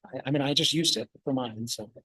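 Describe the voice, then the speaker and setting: Husky man chatting offhand. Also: medium pitch, fairly quick, bright, forceful tone, no echo. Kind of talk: casual backstage chat.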